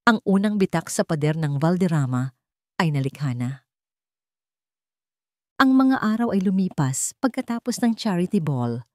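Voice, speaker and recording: Low-voiced woman narrating a dramatic story. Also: sing-song, normal speed, long pauses, warm tone, dry recording, studio quality.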